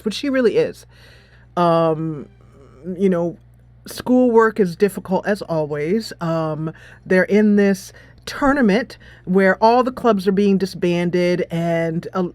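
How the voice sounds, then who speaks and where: A woman's voice: medium pitch, no room sound. A woman giving casual, chatty commentary on a game playthrough, giggly and playfully amused. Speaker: gameplay host and streamer. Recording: soft music behind the voice.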